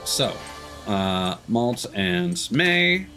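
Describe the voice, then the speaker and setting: A man's voice: mid-range voice, very animated, very slow. A man giving casual, rambling live commentary as he thinks aloud through a video game. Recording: music behind the voice. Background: game music.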